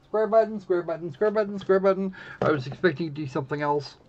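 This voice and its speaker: A man's voice: sits high, fast talker, darkish tone. A man giving casual, snarky live commentary as he plays a visual novel, exclaiming and reading bits aloud. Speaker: streamer playing a visual novel. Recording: dull lo-fi microphone sound.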